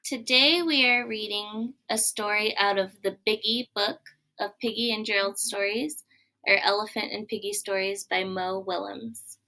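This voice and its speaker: A woman teacher reading a picture book aloud for children, gently and clearly. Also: medium pitch, fairly even intonation, unhurried, low-quality recording.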